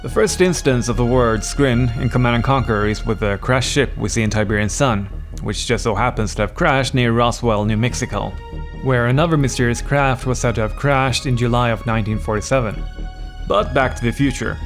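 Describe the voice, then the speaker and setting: A man narrates a scripted lore piece, dropping wry jokes along the way. His voice sits in an ordinary middle register. Music plays clearly under him. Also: mid-range voice, brisk. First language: Swedish. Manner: wry, playful asides. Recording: voice-over with music bed.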